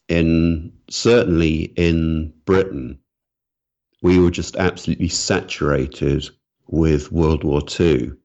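Deep-voiced author, muffled audio. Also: male voice, dark tone. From Wales. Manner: thoughtful, with frequent restarts. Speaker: guest author. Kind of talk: reflective interview answers.

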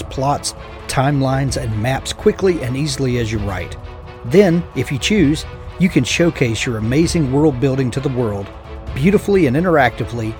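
A host reading a sponsor ad in a scripted, upbeat promotional tone. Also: male voice, medium pitch, darkish tone. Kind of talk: scripted ad read. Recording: faint music behind.

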